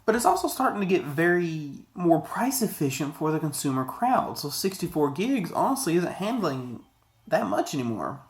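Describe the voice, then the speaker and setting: A man's voice: fairly high pitch, sing-song, moderate pace. A man in his thirties giving a casual, rambling, conversational product review to camera, often digressing. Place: small indoor office, sitting at a desk.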